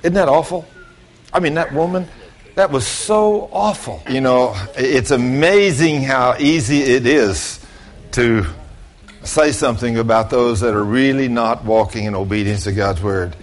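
A man's voice: medium pitch, unhurried. An older man, near seventy, preaches with a mid-range voice. He is folksy and plain-spoken, telling stories in an informal sermon and addressing his listeners directly. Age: late 60s.

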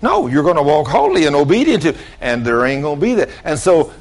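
A man's voice: on the high side, very animated, brisk. A man, giving an informal storytelling sermon in a folksy, plain-spoken way, talking straight to his listeners. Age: late 60s. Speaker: preacher.

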